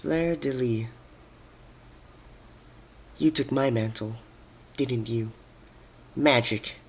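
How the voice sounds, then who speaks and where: Stern, wrathful pony princess for a fan-fiction drama, played by a male reader: raised pitch, regal, commanding, accusatory. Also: drawn-out words, vocal fry, fairly bright tone, breathy edge, heavily acted. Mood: accusing.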